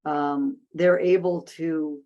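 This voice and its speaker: A woman's voice: low-pitched, steady tone, slow, vocal fry, warm tone, dull audio. A woman hosting a casual discussion, speaking thoughtfully with frequent restarts and fillers. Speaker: host.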